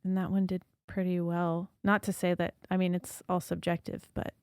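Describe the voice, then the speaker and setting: A young woman, a singer-songwriter guest, talking casually and thoughtfully in a podcast interview, soft-spoken with many fillers. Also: lowish voice, little pitch movement, normal speed, smooth, no room sound, clean studio sound.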